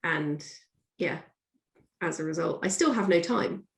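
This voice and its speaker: A woman's voice: fairly low pitch, lively intonation, unhurried, vocal fry. A woman speaking casually as an author guest on a podcast. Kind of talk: casual chat. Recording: echoey room.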